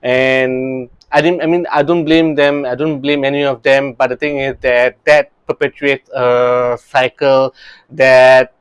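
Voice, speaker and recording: A man's voice: medium pitch, flat delivery, a little slow, smooth, dry recording. A man in his thirties from Singapore, a real estate coach talking earnestly about his early career, often restarting his sentences. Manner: earnest, many restarts and "you know, right?" tags.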